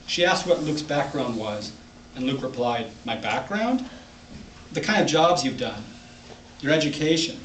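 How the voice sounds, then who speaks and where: A man reading his own literary short story aloud to a live audience as its author. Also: a little high. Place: library event room, indoors. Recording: echoey room, distant mic, background noise.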